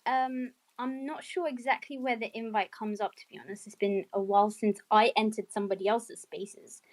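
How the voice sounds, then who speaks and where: A woman's voice: medium pitch, steady tone, normal speed. A woman hosting a live online audio chat, bubbly, upbeat and chatty as she welcomes her speakers. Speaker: host. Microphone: remote audio stream.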